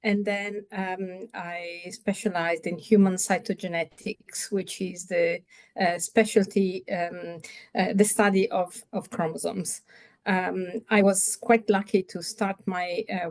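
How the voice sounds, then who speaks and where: Gravelly, hesitant woman from Italy. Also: lowish voice, a little mellow. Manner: hesitant, many fillers. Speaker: researcher. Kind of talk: presentation talk.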